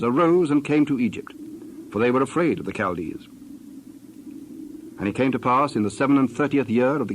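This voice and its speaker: A man narrating dramatized scripture in a measured, solemn way, phrase by phrase. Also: mid-range voice, a little hiss or hum, rough recording.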